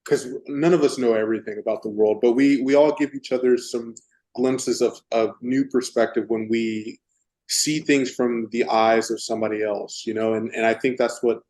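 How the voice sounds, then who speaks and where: Texan male host asking long rambling questions, mid-pitched, stop-start. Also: steady tone, darkish tone, rough recording. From Texas. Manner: halting, searching for words with many restarts. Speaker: interviewer. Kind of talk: casual interview.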